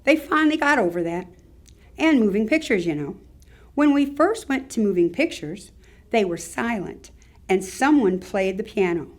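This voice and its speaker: Wry old Southern townswoman acted by a woman, mid-pitched, measured delivery, staged oral history reading. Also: lively intonation, natural voice. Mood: wry reminiscing. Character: elderly small-town Southern woman, wry local chronicler.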